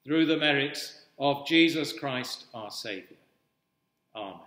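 A man in his sixties, an Anglican priest, reading traditional prayers in a solemn, measured, formal way in an echoey church. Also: medium pitch, lively intonation, fairly bright tone. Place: indoors at a wooden-panelled cathedral altar. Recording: echoey church with long reverb.

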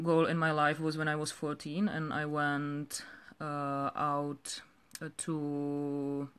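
A young woman with a Czech accent speaks in a clear, smooth voice with very little rise and fall. She tells her own story unscripted, at an unhurried pace, often stopping and repeating words. She is close to a phone or webcam mic indoors. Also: deep voice, fairly bright tone. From Czech Republic. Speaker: direct sales director telling her story. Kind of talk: unscripted personal story to camera. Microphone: phone or webcam held close.

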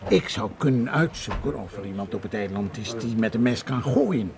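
An actor playing a chatty, insistent island policeman in a radio drama, with a gravelly colloquial voice. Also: lowish voice, expressive, normal speed, over a noisy crowd. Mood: pondering. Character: chatty island policeman, male, protective of locals, hospitable.

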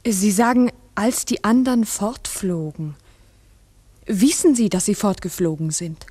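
An actress playing an earnest village schoolteacher in a 1960s radio drama, asking careful, polite, probing questions. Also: female voice, medium pitch, unhurried, warm tone, husky, dry recording. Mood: puzzled, curious.

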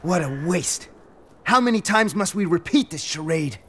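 A man's voice: high-pitched, lively intonation, normal speed, a bit bright, slight background noise. A man playing a proud, ambitious young samurai warlord in an English game dub, with a defiant, declamatory manner. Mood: brooding, resolute. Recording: music behind dialogue.

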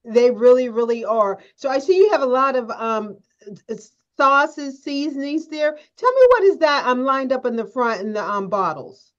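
A woman hosting a TV interview in a studio, chatty and quick to laugh. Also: high-pitched, sing-song, dull audio. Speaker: TV show host.

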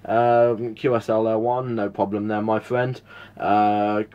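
A man's voice: on the low side, flat delivery, slow, dull audio. A man with a southern English accent making casual CB radio contact, friendly and repeating details as an operator. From United Kingdom. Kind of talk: casual radio contact with call signs and spelling. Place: indoors, at a home radio setup.

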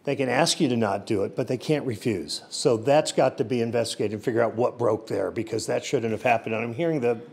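An older man talks at a mid pitch in a blunt, lecturing way. He sometimes trips over a word before pushing on. He speaks into a microphone in a large meeting room. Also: brisk, vocal fry, hardly any pauses, slightly rough. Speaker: election precinct chief giving public comment. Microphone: seated at a desk mic.

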